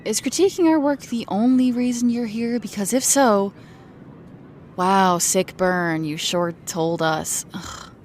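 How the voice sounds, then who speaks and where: A woman playing a feisty, suspicious teenage girl in an audio drama, sarcastic and sharp-tongued. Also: mid-range voice, measured pace, slight background noise, natural voice. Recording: faint outdoor street ambience behind.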